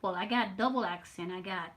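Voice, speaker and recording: An older woman from Puerto Rico with a Spanish and Southern US accent, chatting on her livestream while drawing, nervous, halting and repeating words. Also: mid-range voice, fairly bright tone. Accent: Puerto Rican Spanish mixed with Southern US. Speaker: livestream artist. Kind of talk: casual live stream chat while drawing.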